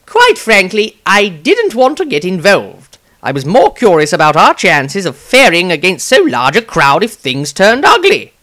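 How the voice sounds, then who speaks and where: A man's voice: high-pitched, huge pitch swings, measured pace, hardly any pauses, bright, forceful tone, voice pushed up, heavily acted. A man voicing a haughty, self-interested adventurer with a disdainful, wry manner in a fantasy diary reading. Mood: detached, wry.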